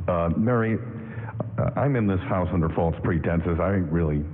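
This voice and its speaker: Man playing a low-voiced bashful young soldier. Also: natural voice. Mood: earnest, confessing. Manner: halting, bashful, courteous. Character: shy, polite young army sergeant, earnest and lonely. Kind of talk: 1940s radio drama acting before a live audience. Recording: echoey hall, tinny radio broadcast.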